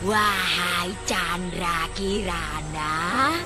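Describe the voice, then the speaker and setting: A woman voicing an evil old witch in a storytale, with a drawn-out, menacing, cackling delivery. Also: fairly low pitch, pitched below the performer's own voice. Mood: menacing, gloating. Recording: loud music under the voice.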